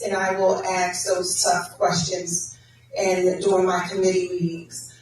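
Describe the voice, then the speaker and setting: Flat-voiced councilwoman in an echoey hall. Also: on the low side, a little hiss or hum. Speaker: city council member. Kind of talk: candidate forum answers. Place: public meeting hall. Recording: echoey hall, distant mic.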